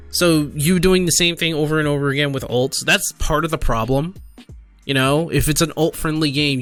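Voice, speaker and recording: A man with a fairly high, bright voice talks through his reactions like a gaming video creator. He is casual and halting, thinking out loud as he goes, with faint music behind him. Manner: halting, conversational, thinking aloud with repeated questions. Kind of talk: casual reaction commentary, partly reading comments aloud.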